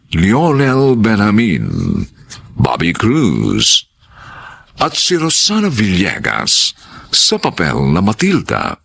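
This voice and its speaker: A low, husky man hosts a radio drama. He delivers formal announcements slowly and declaims them, stretching the ends of phrases with dramatic flair. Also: a bit bright.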